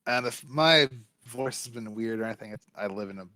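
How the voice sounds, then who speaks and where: Jokey guy stumbling thru his words, bright tone, dry home mic. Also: on the low side, no room sound. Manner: joking, halting, with restarts and laughs. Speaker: guest watching for the first time. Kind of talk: casual chat. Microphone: home mic over a rough internet connection.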